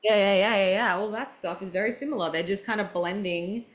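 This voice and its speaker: A woman's voice: mid-range voice, fairly quick. A woman hosting a podcast, talking animatedly and swearing freely as she thinks aloud in casual chat. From Greek heritage. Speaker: podcast host.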